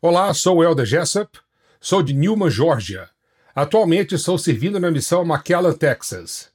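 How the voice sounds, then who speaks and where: A man with a fairly high, husky voice and a lively pitch. He speaks earnestly and frankly about his time as a missionary guest. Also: normal speed. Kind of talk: personal storytelling.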